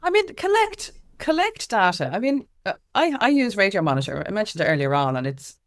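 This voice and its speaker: A woman's voice: mid-range voice, sing-song, fairly quick. An Irish woman giving candid, informal and emphatic answers as an interview guest, speaking as an activist. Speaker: guest, activist and music consultant.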